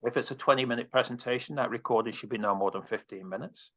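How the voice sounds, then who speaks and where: A man's voice: medium pitch, fast. A man coaching on presentations, answering interview questions in a direct, casual way with casual swearing.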